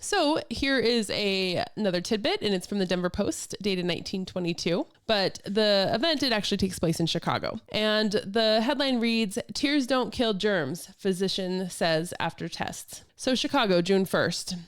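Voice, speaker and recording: A woman's voice: medium pitch, lively intonation, moderate pace, few pauses, clean tone. A woman hosting a casual podcast, telling stories from old news items in a chatty way with amused asides. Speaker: podcast host.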